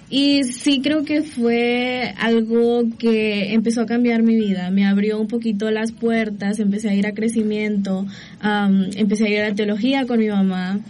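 Soft-spoken young woman. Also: a little high, low-quality recording. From El Salvador. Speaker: youth group coordinator. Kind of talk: casual interview answers.

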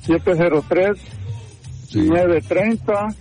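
A man's voice: high-pitched, steady tone, extremely slow, darkish tone, faint music behind. A man giving brief answers as a caller to a live radio show. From El Salvador.

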